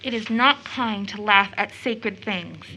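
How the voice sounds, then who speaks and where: An actress playing an earnest, devout girl who longs for motherhood, fervent and halting in a stage-play audio drama. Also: mid-range voice, pushed and bright, poor audio. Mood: fervent, reverent. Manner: fervent, emotionally heightened, halting.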